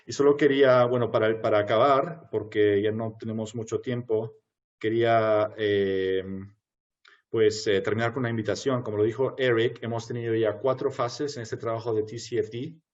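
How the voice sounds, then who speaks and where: A man wrapping up a webinar talk, hesitant, with many pauses and restarts. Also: fairly low pitch, fairly even intonation, a little mellow. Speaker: webinar speaker. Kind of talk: closing a presentation. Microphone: video call. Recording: dull video-call audio.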